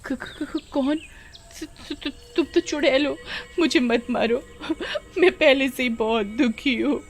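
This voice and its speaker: A woman voicing a sensitive, naive village girl with plaintive, expressive delivery in an animated story. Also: sits high, takes it slowly, pitched above the performer's own voice. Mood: frightened.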